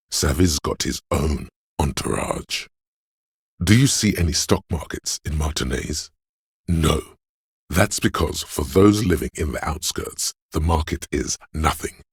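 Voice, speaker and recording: A man playing a swaggering, money-obsessed, suave inner voice in a video game, gravelly and husky, with a cocky, theatrical delivery. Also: very deep voice, no room sound, studio quality, full character voice. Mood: cocky, pushy. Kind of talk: video game voice acting, dramatic narration.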